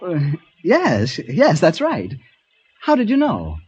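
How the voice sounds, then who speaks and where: Radio play role: flustered, chivalrous young male tourist, lively intonation, polite and halting, dated dull audio. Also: high-pitched, husky, heavily acted. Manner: hesitant, stammering, well-mannered. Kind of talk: radio drama acting, with first-person narration. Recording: dull, lo-fi old radio recording.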